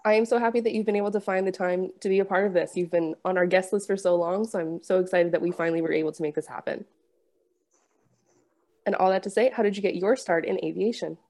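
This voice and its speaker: A woman's voice: on the low side, steady tone, fast, darkish tone. A woman hosting an interview podcast, reading a guest introduction and asking questions with enthusiasm. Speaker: podcast host.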